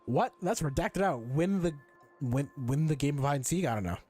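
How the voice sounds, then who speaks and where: Sweary guy streaming a game, mumbling his thoughts out loud, pitch moves around a lot, faint music. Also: animated, moderate pace. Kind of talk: casual live gameplay reaction.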